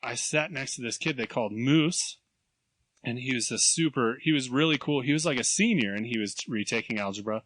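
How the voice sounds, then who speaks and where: A man in his thirties co-hosting a podcast, telling animated stories, acting out other people's voices and laughing often. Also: mid-range voice, brisk, dry recording. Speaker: podcast co-host. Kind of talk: casual chat, storytelling.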